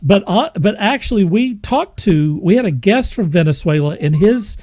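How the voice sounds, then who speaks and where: A man's voice: high-pitched, expressive, moderate pace, a little mellow, dry recording, clean studio sound. A man hosting a podcast in casual, chatty banter. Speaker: podcast host.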